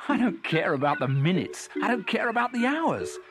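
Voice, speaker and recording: A woman voicing a small male wooden cuckoo from a children's story, a wistful dreamer full of breathy wonder. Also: low voice, very animated, a little slow, over music, voice pushed up. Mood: joyful.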